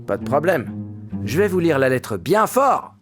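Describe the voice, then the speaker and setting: A gallant young cartoon prince, earnest and naive. He speaks slowly and courteously, with theatrical swings in pitch, over clear music. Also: sing-song.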